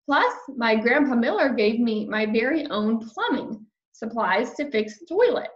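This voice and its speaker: High-pitched woman reading a children's story. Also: sing-song, unhurried, lo-fi sound. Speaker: storybook reader. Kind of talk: expressive children's storybook read-aloud.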